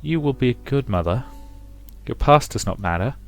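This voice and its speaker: Man voicing a gentle, reserved young woman. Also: mid-range voice, animated, moderate pace, faint music behind, lightly acted. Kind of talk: visual novel character read aloud by a player.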